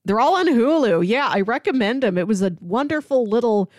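A woman's voice: on the high side, animated, a little fast, a little mellow, no room sound, clean studio sound. A woman co-hosting a film podcast in a casual, conversational style. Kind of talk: casual chat, film recommendations.

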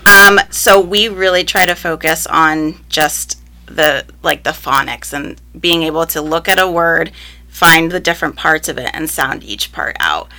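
A woman's voice: sits low, unhurried, sharp tone. A young woman teacher answering interview questions in a casual, upbeat way, with frequent ums and likes, raised speaking both Spanish and English. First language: Spanish, alongside English. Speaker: first grade teacher, interviewee.

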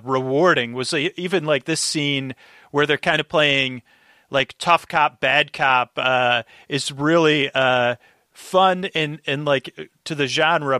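Bright, higher-voiced man, rambling wryly. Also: no echo, studio quality. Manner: rambling, wry. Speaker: podcast guest. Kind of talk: casual chat, wry commentary.

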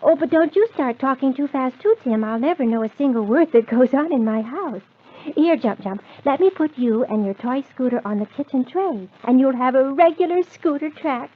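A woman's voice: sits high, lively intonation, normal speed, slightly rough. A woman playing a cheerful, motherly lady of the house in an old-time children's radio show. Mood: gently chiding. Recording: tinny, phone-like old radio recording.

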